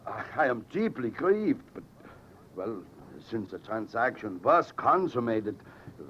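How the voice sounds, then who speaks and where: A formal, delicate businessman with a continental European accent and a middle-pitched voice. He speaks haltingly and politely in old radio drama style. The recording is dull, with faint noise. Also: sing-song. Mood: mournful, awkward. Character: polite, grieving but money-minded Belgian businessman. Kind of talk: 1940s-50s radio drama acting.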